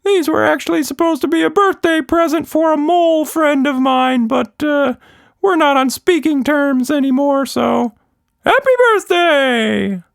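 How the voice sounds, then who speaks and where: Excitable, very high-pitched magical monster. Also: male voice, unhurried, vocal fry, no room sound, studio quality, heavily acted. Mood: excited. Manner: enthusiastic, exclamatory. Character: Quatch Monster, excitable many-armed male magical monster.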